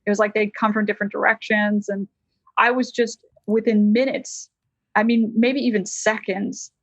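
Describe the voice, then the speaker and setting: An American woman, a licensed counselor, telling a personal story as a podcast guest in a lively, conversational way. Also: mid-range voice, moderate pace, no room sound, highs cut off. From United States.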